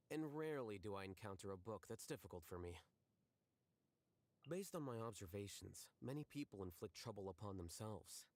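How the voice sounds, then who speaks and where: An aloof young scholar from a video game, with a low, calm voice. He speaks in measured, precise phrases and sounds detached and deadpan, as if he would rather be left alone with his books. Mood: detached, wry. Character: aloof, calm, bookish young male scholar who shuns company. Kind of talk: scripted video game voice lines.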